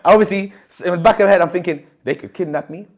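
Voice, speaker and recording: A man's voice: high voice, fast. Chatty young man from Colombia, raised. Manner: chatty storyteller, many restarts and fillers. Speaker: podcast guest. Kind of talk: casual interview chat.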